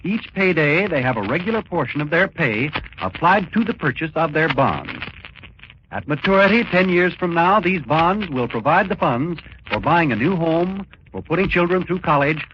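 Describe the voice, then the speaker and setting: A man announcing a scripted 1940s radio message with measured, emphatic phrasing. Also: a little high, very animated. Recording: dull, noisy 1940s radio recording.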